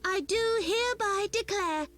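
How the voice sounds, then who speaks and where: A woman's voice: very high voice, unhurried, fairly bright tone, faint music behind. An actress playing a small female dignitary in a fantasy video game, speaking formally and ceremoniously. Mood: solemn. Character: small, high-voiced female dignitary making solemn declaration.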